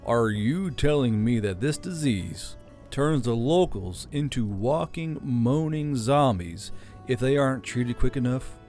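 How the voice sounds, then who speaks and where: A man voicing a tired, blunt human soldier who murmurs in a low, wry way. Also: lively intonation, unhurried, a little mellow, faint music behind, natural voice. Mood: disgusted.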